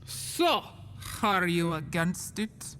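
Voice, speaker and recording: A man's voice: high voice, very animated, very slow, bright, forceful tone, exaggerated voice. A man playing a weary, pompous alien council leader with a formal, sighing manner in a science fiction audio drama. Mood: impatient.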